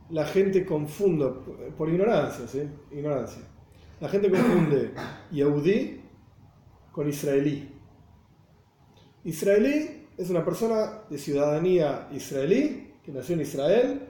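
A man's voice: fairly high pitch, mellow. Buenos Aires man teaching in a roomy space. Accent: Buenos Aires Spanish. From Argentina. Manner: explanatory, asks rhetorical questions, checks listeners follow. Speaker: Torah teacher. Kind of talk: religious class lecture. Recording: roomy echo.

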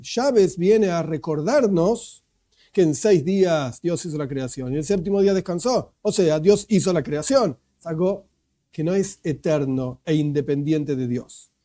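A man delivers a religious lesson in an explanatory, emphatic way, often asking and then answering his own questions. His voice is high for a man yet very dark and mellow in tone. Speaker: religious teacher. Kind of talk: religious lecture.

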